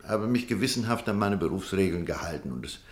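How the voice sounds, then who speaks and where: An actor in a German radio play as an authoritative, defensive surgeon with a troubled conscience, speaking formally and insistently. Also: male voice, low voice, animated, quick pace. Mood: indignant, defensive. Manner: formal, insistent, brusque with hesitations.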